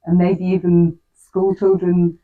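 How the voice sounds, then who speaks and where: A woman's voice: fairly low pitch, monotone, takes it slowly, phone-quality sound. A woman interviewing in an encouraging, conversational way. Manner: encouraging, affirming.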